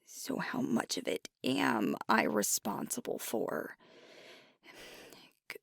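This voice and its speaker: Woman acting a breathy, whispery young werewolf alpha. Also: very deep voice, no room sound, studio quality, full character voice. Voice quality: soft, breathy, half-whispered. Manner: hesitant, confiding, slow and reflective. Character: young female alpha werewolf pack leader, earnest, self-doubting. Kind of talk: intimate ASMR roleplay monologue. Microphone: close mic, dry.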